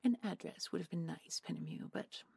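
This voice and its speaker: A woman's voice: lowish voice, fairly quick, darkish tone, no echo, full character voice. A woman playing a menacing, mock-polite supernatural villain in an audio drama, speaking in a taunting, falsely sweet manner. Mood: smugly sweet. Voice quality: creaky, breathy. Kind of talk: audio roleplay acting.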